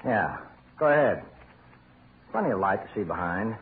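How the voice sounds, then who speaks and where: An impatient, gruff working husband in a 1940s radio play. His voice is low and swings widely as he badgers and scorns. The sound is a narrow, scratchy old broadcast. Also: big pitch swings, normal speed. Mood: brusque, explaining.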